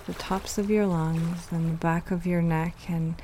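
A woman leading a guided meditation, giving soothing, unhurried instructions with pauses. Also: lowish voice, steady tone, mellow, a little hiss or hum.

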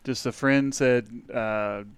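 A man's voice: medium pitch, steady tone, takes it slowly, clean tone, no echo. A man chatting casually as a guest, easygoing and agreeable, often laughing along. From United States. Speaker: guest, strength coach. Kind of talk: casual chat.

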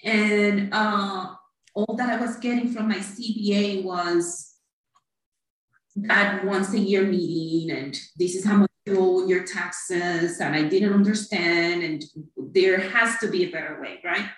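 A woman's voice: mid-range voice, fairly even intonation, unhurried. A middle-aged woman from Cuba, chatting about her career as an accountant with many fillers. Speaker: accountant guest. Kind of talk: conversational interview. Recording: echoey, distant from mic.

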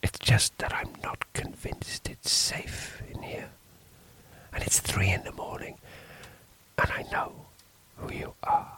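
A man voicing a nervous village priest in a hushed, breathy whisper. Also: slow, pauses often, poor audio. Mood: anxious hushed.

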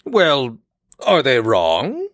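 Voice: medium pitch, wildly swooping pitch, dry recording, clean studio sound, heavily acted. An actor as a smug, swooping, theatrical doctor. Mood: smug, dismissive. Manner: condescending, mock-polite, drawn-out theatrical phrasing. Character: smug, theatrical, manipulative doctor running sinister institution. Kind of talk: audio drama dialogue. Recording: loud music under the voice. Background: music.

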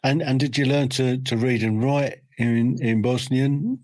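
A man's voice: medium pitch, steady tone, a little slow, a little mellow, dry recording. A man, interviewing someone and often repeating words as he works out his questions. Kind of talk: casual conversational interview.

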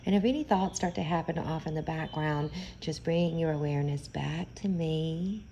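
A woman leading a guided meditation in a soothing, gentle, unhurried manner, pausing often between phrases. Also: deep voice, a little hiss or hum.

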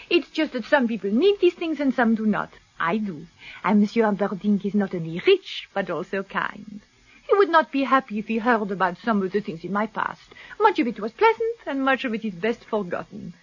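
An elegant French kept woman for a radio play. Her voice is high and swoops expressively, coquettish and airy. The recording is a little dull and muffled. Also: big pitch swings, brisk, a bit bright, breathy edge, exaggerated voice. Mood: coquettish, self-justifying. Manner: theatrical, coquettish. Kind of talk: radio drama acting.

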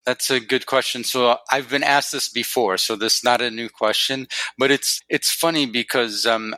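A man's voice: medium pitch, normal speed, bright, forceful tone, no room sound, rough recording. A middle-aged man chatting as a guest in an interview, talking loosely with lots of fillers and restarts. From Northwest Wisconsin, USA. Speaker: guest, neurologist. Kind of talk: casual interview chat.

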